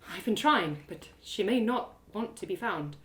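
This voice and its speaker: A woman voicing a solemn, gentle elf mentor in a one-woman audio drama, speaking in a measured, earnest, instructive way. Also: medium pitch, expressive. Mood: sad.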